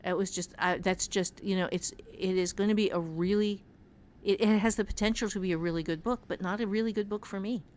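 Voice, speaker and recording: A woman talking casually on a podcast as an author and writing teacher, telling her story in a halting, reflective way. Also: mid-range voice, fairly even intonation, darkish tone.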